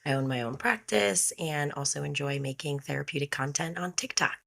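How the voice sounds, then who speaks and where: A woman's voice: low voice, fairly quick, gravelly, fairly bright tone. A thirty-year-old woman from New York, casually introducing herself as a podcast guest. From New York, United States. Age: 30s.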